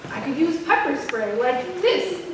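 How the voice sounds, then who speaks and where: A woman's voice: sits high, lively intonation, a little slow. A woman playing an argumentative young critic of police who acts out an officer in a staged play reading. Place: theater hall, recorded from the audience. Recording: roomy, distant stage recording with faint noise.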